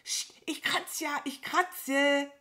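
A bossy, excitable storybook crow, acted out by a woman. The voice sits high and swings widely, with an agitated, scolding manner that frets over everything. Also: a little slow. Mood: alarmed. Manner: agitated, scolding, stammers when alarmed. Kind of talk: children's storybook character voice.

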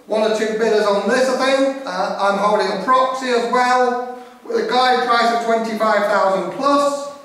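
A man's voice: very high-pitched, a bit bright. A middle-aged man calling a live property auction in emphatic, repetitive patter, recorded at a distance in an echoey room. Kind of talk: live property auction calling with rapid repeated bid chant. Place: indoor auction room at a lectern. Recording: very echoey room, far from microphone.

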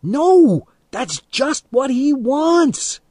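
A man's voice: medium pitch, lively intonation, measured pace, darkish tone, no echo, clean studio sound, voice pushed up. A man voicing a bold young boy in a story, urgent and argumentative as he protects his friend. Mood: alarmed.

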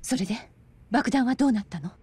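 An actress playing a serious, headstrong young police officer in a game drama, speaking in a direct, crisp manner. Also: female voice, on the high side, animated, quick pace, a little mellow. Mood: serious, insistent. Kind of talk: dramatic video game cutscene acting.

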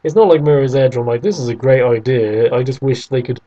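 A man's voice: medium pitch, fairly quick, mellow, dull audio. A young man hosting a casual podcast chat about games, teasing and sarcastic. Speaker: podcast host.

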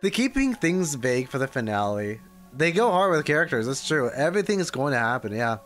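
A man casually rambling on a livestream as he reacts to a game, with soft music behind him. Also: medium pitch, a little fast. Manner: rambling, animated, reads chat aloud.

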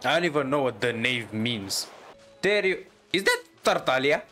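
A young male gamer with a mid-pitched voice reacts casually to a game. He speaks informally, tripping over and repeating words, with game music faintly behind him. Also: sing-song, normal speed, pushed and bright. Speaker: gamer, streamer.

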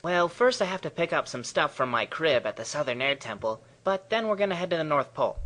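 Guy doing a cocky kid hero for a cartoon spoof, sharp bright voice, super flippant. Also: a little high, big pitch swings, brisk. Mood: breezy. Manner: flippant, boastful. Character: cocky, carefree twelve-year-old boy hero. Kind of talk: comedic cartoon parody dub.

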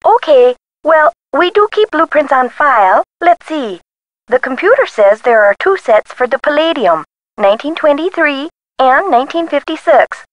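An actress playing a helpful but bureaucratic records clerk in a video game, with an officious, matter-of-fact phone manner. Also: female voice, high-pitched, sing-song, moderate pace, no room sound, highs cut off. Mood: businesslike.

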